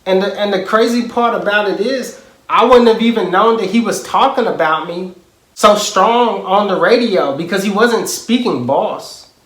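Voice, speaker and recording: A man doing a parody of an aggrieved, self-important music mogul, boastful and indignant, with emphatic pauses. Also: high-pitched, fairly bright tone. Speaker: comedic impressionist. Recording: roomy, echoing sound.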